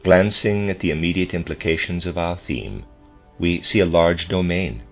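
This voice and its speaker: Male reader for a formal lecture text, low-pitched, deliberate delivery, dull lo-fi sound over music. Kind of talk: audiobook-style reading of a translated lecture. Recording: dull lo-fi recording with music behind.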